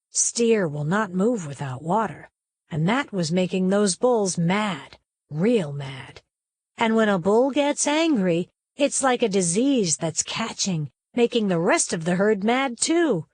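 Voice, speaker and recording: A woman reading a children's story aloud as narrator, in a folksy, expressive storytelling manner with dramatic emphasis. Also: medium pitch, no room sound.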